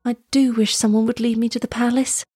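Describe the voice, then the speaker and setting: A woman's voice: a little high, level tone, brisk, dark tone, husky, no echo, studio quality, pitched above the performer's own voice. A woman voicing an earnest, polite young girl who speaks with pleading emotion in a children's storybook. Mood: anxious, polite. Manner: pleading, exclamatory.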